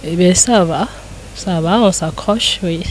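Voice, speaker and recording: A woman's voice: fairly low pitch, takes it slowly. A woman being interviewed as a guest, speaking briefly and a bit stuffed up from a cold. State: has a cold. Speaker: guest actress. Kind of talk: interview answers.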